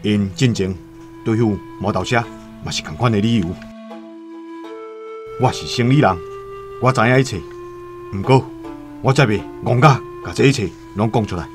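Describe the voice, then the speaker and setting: A man as a low-voiced, shrewd trader. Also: normal speed, long pauses, natural voice. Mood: calculating. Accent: Taiwanese. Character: shrewd, self-serving Han trader, wary of trouble. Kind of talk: radio drama dialogue and first-person storytelling. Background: music.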